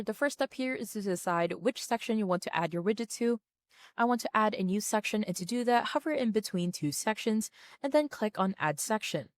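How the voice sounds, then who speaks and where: A woman's voice: a little high, dry recording. Woman narrating a tutorial, very dry sound. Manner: instructional, orderly, plain-spoken. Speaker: tutorial presenter. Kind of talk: scripted step-by-step software tutorial narration.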